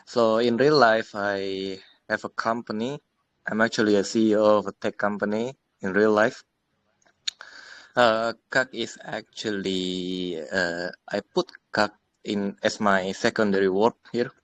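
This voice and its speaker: Slow, halting Indonesian-accented man. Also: on the low side, fairly even intonation, a bit bright, dry recording. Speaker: tech company CEO, project helper. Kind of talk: casual self-introduction.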